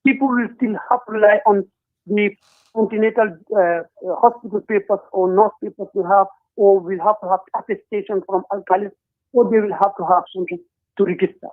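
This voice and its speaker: A man with a strikingly high voice, calling in over a thin, tinny phone line. He talks earnestly and deliberately, stopping often to hesitate as he lays out his points in a political discussion. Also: fairly even intonation. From Gambia.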